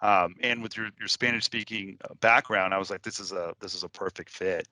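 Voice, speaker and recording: A man's voice: sits low, fairly even intonation, a little fast, pushed and bright, no echo. A man who runs a cleaning business, hosting a casual interview in a loose, chatty way with many fillers.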